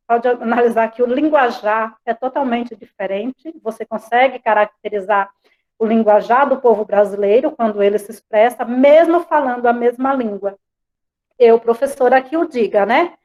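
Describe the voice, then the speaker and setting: A woman's voice: fairly high pitch, a little mellow, low-quality recording. Talkative Bahian woman teacher. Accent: Bahian Portuguese. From Bahia, Brazil. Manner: conversational, frequent tag questions. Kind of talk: online class lecture. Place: home, online class. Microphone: video call.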